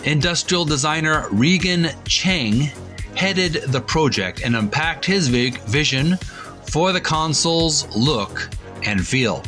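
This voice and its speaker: A man hosting a video game channel, speaking casually or reading aloud in a halting, deliberate, phrase-by-phrase way. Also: on the high side, lively intonation, pushed and bright. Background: background music.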